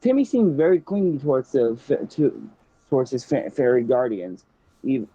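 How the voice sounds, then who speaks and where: A man's voice: mid-range voice, big pitch swings, moderate pace, warm tone, husky, dry recording, lo-fi sound. A young man hosting, reading a story aloud casually, often stumbling and restarting.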